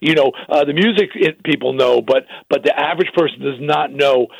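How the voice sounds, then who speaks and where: Gravelly older man on morning radio. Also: on the high side, brisk, dry recording, poor audio. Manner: talkative, storytelling with restarts. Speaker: radio co-host and rock singer. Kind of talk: casual radio chat. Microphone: studio microphone.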